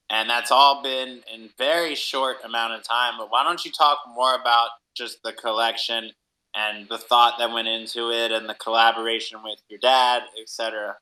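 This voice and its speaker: A man's voice: medium pitch, pushed and bright, low-quality recording. A man hosting a conversational podcast interview, asking questions of a guest. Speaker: interviewer and host.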